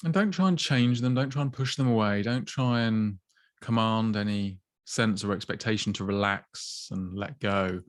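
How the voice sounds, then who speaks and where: A man with a low, calm voice guides a mindfulness body scan. He speaks slowly and gently, leaving long pauses between phrases. The recording is dry and close, with no room sound. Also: no room sound. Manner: slow, gentle, soothing guidance with long pauses. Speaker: mindfulness teacher.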